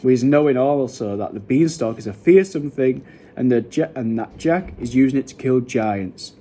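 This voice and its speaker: A man's voice: medium pitch, moderate pace, dark tone, a little hiss or hum, close to an everyday voice. A man voicing a kindly, cautious giant from a storybook, confiding things in quaint broken grammar. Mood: confiding, earnest. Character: kindly male giant, odd muddled words, cautious explainer.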